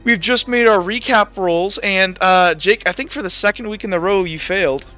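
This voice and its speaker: A man's voice: sits high, moderate pace, sharp tone. A young man as game master, narrating the scene in second person with hesitant fillers and restarts. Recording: slightly muffled, with faint music.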